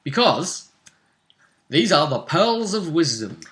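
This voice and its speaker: A man's voice: a little high, slow. A man chatting casually and playfully as game master, recapping a tabletop role-playing session. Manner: playful, animated, acts out game moments.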